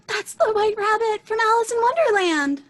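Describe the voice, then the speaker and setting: A woman voicing a timid young girl in a live radio play, speaking in a hushed, wondering way. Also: very high-pitched, very animated, measured pace, exaggerated voice.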